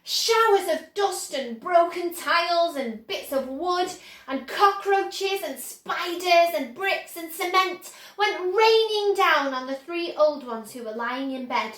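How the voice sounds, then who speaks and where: A woman storyteller with a high voice reads to children. Her narration is expressive and slow-paced, with the echo of a room around it. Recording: roomy, echoing indoor sound.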